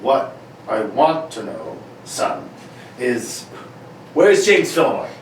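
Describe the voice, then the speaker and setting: A man's voice: mid-range voice, sing-song, takes it slowly. A man playing a bossy, blustering police inspector and father, sputtering through an amateur comedic radio play reading. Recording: very echoey room, distant mic, faint noise.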